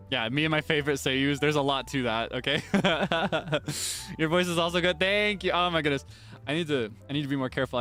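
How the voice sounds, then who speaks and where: A young man with an ordinary mid-range voice talks casually to his viewers on a stream. He laughs often and pokes fun at himself. Soft music plays faintly underneath. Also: medium pitch. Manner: chatty and self-deprecating, laughs often. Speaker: virtual streamer. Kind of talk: casual chat answering viewer comments.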